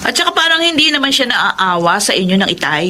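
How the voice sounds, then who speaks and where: A woman's voice: a little high, very animated, quick pace, bright, forceful tone, slight background noise. An actress playing a grown daughter who complains sarcastically about her brother in a radio drama. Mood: resentful. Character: resentful grown daughter, elder sister.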